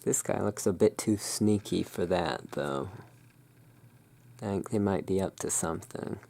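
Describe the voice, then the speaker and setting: A hushed, soothing and unhurried voice in an ASMR roleplay, playing the owner of a gothic curiosity shop. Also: low-pitched, gravelly. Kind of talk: ASMR roleplay, showing tarot cards.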